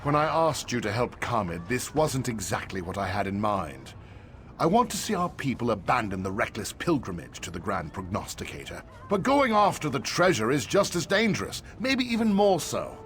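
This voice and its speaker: A man voicing a weary, cautious old survivor in a fantasy video game, speaking gravely and with doubt. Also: medium pitch, very animated, normal speed, fairly bright tone. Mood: worried, warning. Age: older. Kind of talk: AI-voiced fantasy game dialogue. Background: game music.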